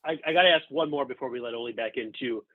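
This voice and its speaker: A man's voice: medium pitch, racing. An American man hosting a sports podcast over a remote call, chatting casually and putting questions to a guest. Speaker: podcast host. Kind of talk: casual sports interview chat. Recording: thin, phone-like remote call audio.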